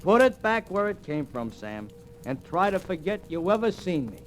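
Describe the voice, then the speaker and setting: A man's voice: sits high, big pitch swings, normal speed. An actor playing a streetwise American bookie with slangy, ungrammatical tough-guy talk in a vintage radio drama. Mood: grudging. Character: slangy streetwise bookie, shifty but soft-hearted. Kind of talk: 1940s-50s American radio drama acting. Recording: old radio recording, faint music.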